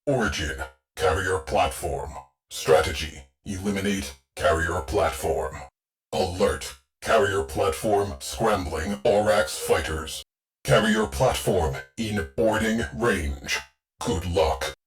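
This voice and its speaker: A man playing a terse, stoic military ship AI in a video game, giving mission briefings in a clipped, deadpan, commanding way. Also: sits low. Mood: deadpan, dry. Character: terse, stoic ship AI, male military tactician with dry wit.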